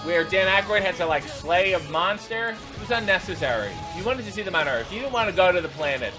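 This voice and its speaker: A man's voice: high voice, animated, quick pace, music in the background. A man hosting a reaction video, giving unscripted running commentary in an exasperated, sarcastic, incredulous way.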